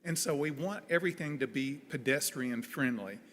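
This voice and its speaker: A man's voice: mid-range voice, moderate pace, fairly bright tone. A man giving public comment at a hearing as a local business owner. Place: large meeting room. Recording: echoey hall, some background noise.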